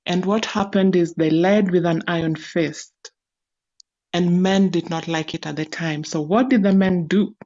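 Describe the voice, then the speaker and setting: Slow-spoken woman guest. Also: fairly low pitch, fairly even intonation, a little mellow. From Kenya. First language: Luo. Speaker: guest speaker. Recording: lo-fi streamed audio.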